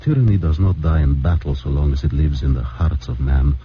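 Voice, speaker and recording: An actor playing an anxious, devout immigrant father who speaks in halting, formal, stammering phrases. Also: rumbling low, slight background noise. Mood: solemn. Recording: muffled old radio broadcast.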